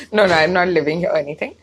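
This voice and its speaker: A woman's voice: fairly low pitch, fairly bright tone, a little hiss or hum. A young woman with a Bangalore Indian English accent, a stand-up comic chatting casually and rambling as she slips between English and Hindi mid-sentence. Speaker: stand-up comic and comedy writer. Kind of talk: casual chat.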